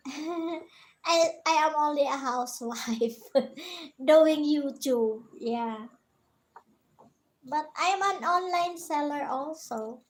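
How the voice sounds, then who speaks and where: A woman's voice: sits high, drawn-out words. A Filipina woman in her forties with a Filipino accent, chatting casually with a viewer on a live stream, asking questions and laughing often. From Philippines. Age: 40s.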